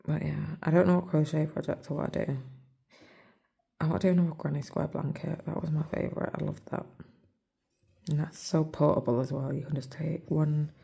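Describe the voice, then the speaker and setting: A hoarse English woman talks in a soft, near-whispered murmur as she chats casually over her stitching. Her low voice stays level and mellow, with a gravelly, husky roughness. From England. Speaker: cross-stitch vlogger. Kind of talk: casual chat while stitching. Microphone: phone.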